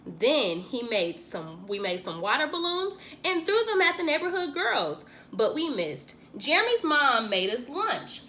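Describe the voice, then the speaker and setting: A woman reading a children's picture book aloud in an expressive, theatrical way, like a teacher acting it out for young pupils. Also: high-pitched, unhurried, few pauses, a little hiss or hum. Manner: theatrical, acts out the dialogue.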